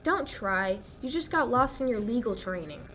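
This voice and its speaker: A young woman acting an anxious, sharp-tongued fiancée in a 1940s-style radio drama. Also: on the high side, expressive, normal speed, soft background music. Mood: impatient. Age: young adult. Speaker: high school actor. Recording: background noise.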